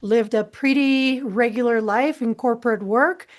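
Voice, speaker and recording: Calm woman guest from Costa Rica. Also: on the high side, measured pace. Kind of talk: interview conversation.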